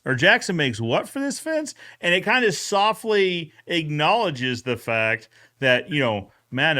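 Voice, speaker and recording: A man's voice: medium pitch, sharp tone. A man hosting a casual recap chat as a fence expert.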